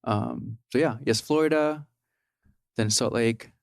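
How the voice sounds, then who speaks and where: A man's voice: medium pitch, takes it slowly, gravelly, dry recording, clean studio sound. A man in his thirties from Peru, a podcast guest talking casually and hesitantly with many ums and restarts. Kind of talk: casual interview.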